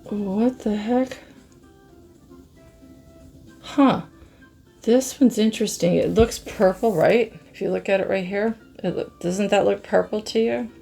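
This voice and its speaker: A middle-aged woman with a hoarse, scratchy voice, chatting slowly to viewers as she reviews art pens and marvels at their colors. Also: medium pitch, warm tone, soft background music. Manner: slow, musing, easily amazed, talks to viewers. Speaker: craft channel host. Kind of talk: casual product review chat.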